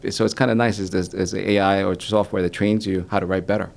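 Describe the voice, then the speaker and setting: A man's voice: low voice, flat delivery, fast, gravelly. A man originally from El Salvador, a native Spanish speaker, talking casually as an expert guest in a radio interview and repeating phrases for emphasis. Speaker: guest expert on digital marketing.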